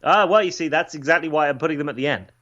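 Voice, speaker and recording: A man's voice: a little high, very fast, fairly bright tone. A man giving a casual, wry on-air update as a radio reporter calling in from home over a remote link. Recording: muffled remote call audio.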